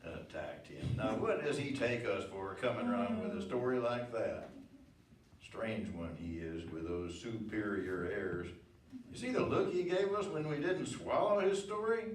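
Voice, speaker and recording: Man acting a blunt farmer, swooping pitch. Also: sing-song, unhurried. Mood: scornful. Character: scornful, dismissive, plain-spoken farmer. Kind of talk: radio drama acting. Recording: distant echoey room, faint noise.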